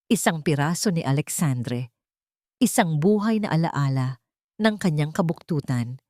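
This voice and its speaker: Low-pitched woman narrating a dramatic story. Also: mellow, no room sound, clean studio sound.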